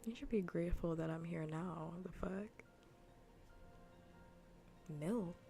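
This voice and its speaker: Female ASMR streamer: breathy close-mic whisper, lowish register, unhurried delivery, playful teasing tone. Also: dark tone, faint music behind. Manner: teasing, playful, mock-scolding. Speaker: livestream host. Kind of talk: soft whispered ASMR gaming stream chat. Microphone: close ASMR microphone.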